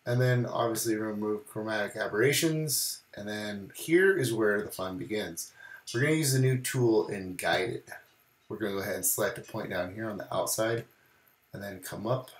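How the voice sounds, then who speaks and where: A man's voice: lowish voice, normal speed. Man with a recovering voice, echoey room. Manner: casual, relaxed walkthrough with many "we're gonna". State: recovering voice after bronchitis. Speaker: photography tutorial host. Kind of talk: screen-recorded editing tutorial. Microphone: voice-over on screen recording.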